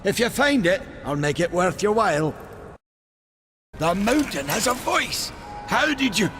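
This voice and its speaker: A man's voice: high voice, very animated, a little slow, a bit bright, a little hiss or hum, heavily acted. A man playing a chatty, wily and cowardly Maker trader in a fantasy game, with a folksy, sly way of talking. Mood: grateful.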